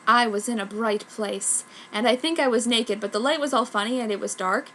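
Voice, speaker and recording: Female story reader, high bright voice, fanfiction narration, noisy recording. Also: fairly quick. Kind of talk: dramatic comic reading of a story aloud.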